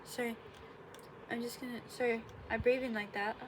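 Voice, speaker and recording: A woman's voice: fairly high pitch, little pitch movement, moderate pace. Congested young woman rambling about her day. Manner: rambling, self-correcting, sarcastic asides. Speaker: vlogger. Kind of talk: casual explainer vlog. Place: her bedroom. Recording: noticeable room noise.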